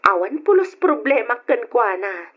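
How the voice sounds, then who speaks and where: A woman's voice: on the high side, very animated, a little slow, fairly bright tone, slightly rough, exaggerated voice. A woman playing a devoted, hopeful young single mother with an Ilocano accent, earnest and emotional in an old-time radio drama. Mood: happy, earnest. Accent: Ilocano, from the Philippines. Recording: dull lo-fi old radio recording.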